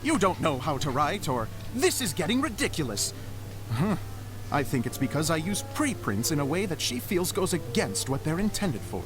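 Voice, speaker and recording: An actor voicing an earnest male theater director in a game, speaking in a gently theatrical storytelling manner. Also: a little high, big pitch swings, moderate pace. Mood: proud. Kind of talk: game voice acting. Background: game music.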